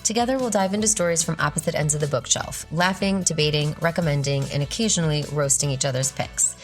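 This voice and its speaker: Female podcast co-host, slightly low voice, conversational presenting, faint music behind. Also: fast. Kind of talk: casual podcast hosting with some scripted reading.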